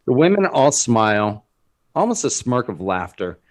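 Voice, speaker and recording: A man's voice: mid-range voice, sing-song, moderate pace. A man reading scripted dramatic narration as the narrator of a screenplay.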